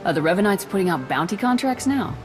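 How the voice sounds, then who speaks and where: A woman's voice: medium pitch, sing-song, fast, over music, natural voice. An actress playing a principled, resolute female soldier in a video game, firm and confident. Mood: concerned, determined. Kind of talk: cinematic video game dialogue.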